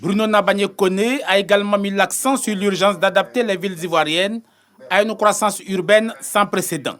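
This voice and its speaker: A man's voice: high voice, moderate pace, a bit bright. A man narrating a scripted television news report as a reporter.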